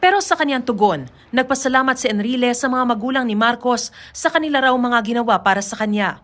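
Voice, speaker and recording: A woman with a Filipino accent reads a scripted news report. Her voice sits fairly high, in a reporter's narration style. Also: lively intonation, quick pace, fairly bright tone.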